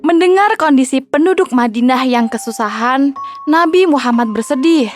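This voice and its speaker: Female narrator, high register, scripted kids' storytelling with background music. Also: expressive, moderate pace.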